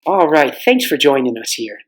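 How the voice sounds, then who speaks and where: A man's voice: mid-range voice, very animated, normal speed. A man reading a storybook aloud as narrator. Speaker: volunteer storybook reader.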